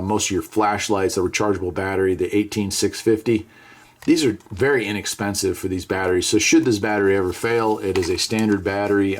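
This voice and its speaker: Low-voiced American man reviewing gear, noisy background. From United States. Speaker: radio reviewer and video host. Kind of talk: casual product review to camera. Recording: clear background noise, clicks of handling.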